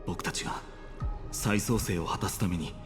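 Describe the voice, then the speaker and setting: A man's voice: sits low, fast, long reverb tail, soft background music, close to an everyday voice. A man playing a defiant, embittered young hero in a game, speaking with solemn intensity. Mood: bitter. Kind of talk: Japanese anime-style game voice acting.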